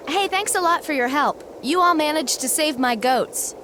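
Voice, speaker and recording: Spirited young herder girl, high pitch, lively swings, bold, game cutscene. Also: normal speed, a little hiss or hum. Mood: friendly, frank. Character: feisty, protective young woman goatherd. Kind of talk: animated video game cutscene acting.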